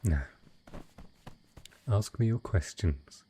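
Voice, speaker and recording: A man playing a wealthy, cunning dragon in humanoid disguise, speaking in a refined, eloquent and husky way in a romantic fantasy audio roleplay. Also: on the low side, animated, warm tone, slight background noise. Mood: wistful, suave. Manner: refined, eloquent, unhurried storytelling.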